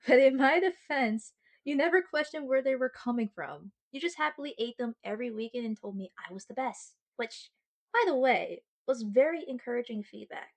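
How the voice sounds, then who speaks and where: A woman playing a sweet, sheepish young girlfriend in an ASMR roleplay, speaking to her partner in a halting, flustered way. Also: sits high, expressive. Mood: amused, sheepish. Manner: halting, stalling, sighing, mock-indignant. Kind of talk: ASMR girlfriend roleplay, acted monologue to the listener.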